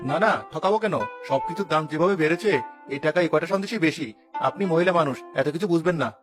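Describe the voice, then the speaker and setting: Village sweet seller, played by a man: quick, condescending cartoon delivery over music. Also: on the high side, close to an everyday voice. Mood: dismissive. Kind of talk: cartoon character acting.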